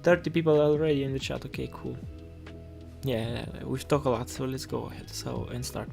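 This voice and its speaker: A man's voice: medium pitch, fairly even intonation, creaky, a little mellow. Romanian man streaming over background music. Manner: halting, repeats words while reading chat. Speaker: live-stream host and coding tutor. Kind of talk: casual live coding tutorial with chat replies. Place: indoors at a computer desk. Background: music playing, keyboard typing.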